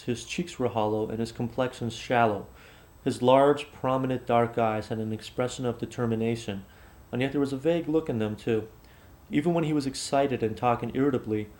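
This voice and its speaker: A man's voice: medium pitch, steady tone, moderate pace, a little mellow, close to an everyday voice. A man reading descriptive literary prose aloud as an actor at an audition.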